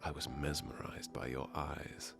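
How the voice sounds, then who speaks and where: A man's voice: very deep voice, level tone, unhurried, creaky, music in the background, full character voice. A man playing a charming, teasing masked nobleman in a romantic ASMR fairytale roleplay, suave and playful with courtly phrasing. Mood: tender, admiring.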